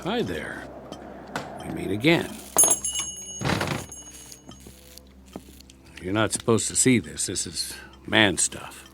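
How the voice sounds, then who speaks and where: A man's voice: low-pitched, very animated, measured pace, creaky, a bit bright, slight background noise, heavily acted. An actor playing a weary, talkative soldier in a radio drama, wry and pleading as he tries to make peace. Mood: subdued, sheepish. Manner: chatty, wry, pleading, sprinkles foreign words. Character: weary, talkative young-ish soldier making peace after war.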